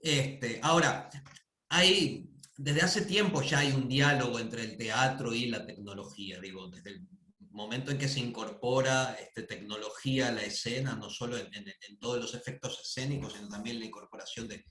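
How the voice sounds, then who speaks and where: A man speaks over a video call in an echoey room, at a middling pitch. He is thoughtful and hesitant, and he fills his pauses often as he works through his ideas at an academic panel. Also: sharp tone. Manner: thoughtful, hesitant, frequent fillers. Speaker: conference panelist. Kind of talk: academic discussion comment. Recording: roomy echo.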